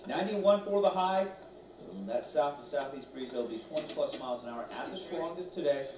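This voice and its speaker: A man's voice: a little high, animated, a little fast, a little mellow. Weatherman in echoey, noisy sound. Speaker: TV weather forecaster. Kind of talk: conversational weather forecast. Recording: echoey, distant, noisy programme feed.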